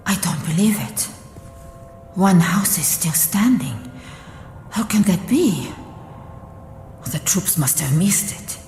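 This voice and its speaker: An actress playing a wary, weary lone woman surviving in a ruined war zone, talking to herself in halting, dramatic radio play style. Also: on the low side, expressive, a little mellow. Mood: astonished. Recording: echoey reverberant hall, music behind.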